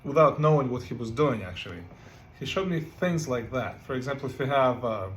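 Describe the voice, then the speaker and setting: Man, guitar teacher, halting casual delivery, medium pitch. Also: expressive, slight background noise. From Ukraine. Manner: halting, many fillers and "right?" checks. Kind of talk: casual music lesson with playing. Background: guitar playing.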